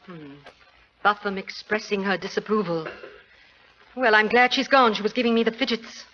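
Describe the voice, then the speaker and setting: An actress playing a plucky, haughty aristocratic bride-to-be in a 1940s radio drama, imperious and impatient. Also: female voice, mid-range voice, fairly even intonation, natural voice. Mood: brisk, irritated. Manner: imperious, brisk. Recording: dull, noisy old radio recording.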